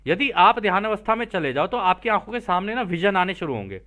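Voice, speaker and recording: A man's voice: sits high, big pitch swings, brisk, fairly bright tone. A man teaching spiritual material, reading aloud and explaining it informally, checking in with listeners through rhetorical questions.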